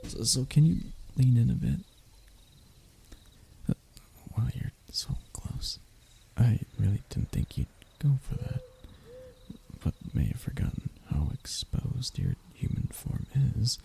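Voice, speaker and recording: Flustered, lovestruck royal captive played by a man, roleplay audio: slow, flowery and pleading, with a gravelly creak. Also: medium pitch, huge pitch swings, mellow, whispered, exaggerated voice. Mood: flustered, shy. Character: young human royal heir, flustered, stubborn, scheming, lovestruck captive. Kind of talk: audio roleplay acting, addressing the listener closely.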